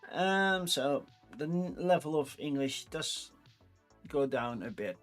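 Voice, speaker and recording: A man's voice: a little high, expressive, slow, fairly bright tone, soft background music. Easygoing Dutch-accented man rambling casually. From Netherlands. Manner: rambling and easygoing, with frequent restarts. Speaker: streamer. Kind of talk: casual livestream chat.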